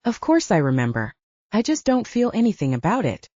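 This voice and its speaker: A woman's voice: medium pitch, huge pitch swings, a little fast, darkish tone, no echo, muffled recording. A woman voicing a wry, bossy but anxious young heroine in an audiobook story. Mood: flat.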